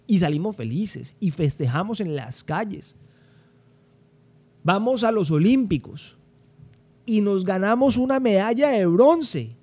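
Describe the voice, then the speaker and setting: A young man from Cali speaks with a Colombian accent, slightly high for a man yet mellow and dark in tone. He talks emphatically and conversationally, often circling back over his own words as he hosts a solo podcast. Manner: emphatic, conversational, repeats words while thinking. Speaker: podcast host.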